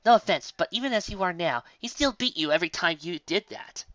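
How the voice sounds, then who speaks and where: A woman's voice: on the low side, lively intonation, a little fast, dry recording, lo-fi sound, pitched above the performer's own voice, lightly acted. A woman voicing an earnest, practical young female pony, reasoning things out in a storybook reading. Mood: doubtful. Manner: reasoning, questioning.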